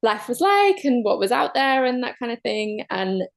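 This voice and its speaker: A woman from Manchester with a Mancunian accent, a guest openly sharing her love story in a casual chat, pausing often on soft "um"s. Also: fairly high pitch, sing-song, vocal fry. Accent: Mancunian English. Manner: open, measured, many soft "um"s.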